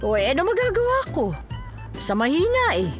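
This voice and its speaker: A woman as an animated Filipina flirt. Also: very high-pitched, brisk, music in the background, heavily acted. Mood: sulky, coaxing. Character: flirtatious, pouting woman, brazen temptress. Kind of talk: audio drama acting.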